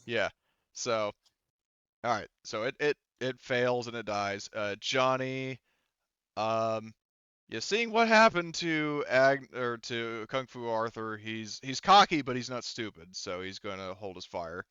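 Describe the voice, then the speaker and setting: A man's voice: mid-range voice, measured pace, pushed and bright, clean tone, no echo, dull audio. A man running a tabletop roleplaying game as game master, hesitantly thinking aloud as he works through the rules in a casual way.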